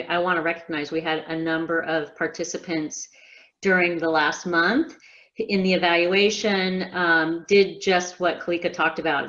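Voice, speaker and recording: A woman with a slightly low voice adds informal remarks during an online meeting. She feels her way through her sentences a little hesitantly, and the call audio is somewhat muffled. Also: a bit bright. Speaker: meeting participant, team member. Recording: dull video-call audio.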